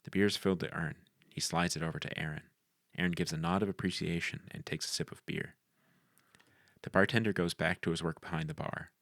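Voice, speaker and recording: A man's voice: low voice, fairly even intonation, fairly quick, fairly bright tone, no room sound, clean studio sound. A man narrating the action lines of a screenplay in a scripted read.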